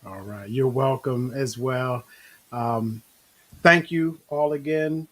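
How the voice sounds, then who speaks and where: A middle-aged man hosting a casual online talk show, relaxed and rambling with many restarts. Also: medium pitch, slow. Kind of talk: casual live-stream talk.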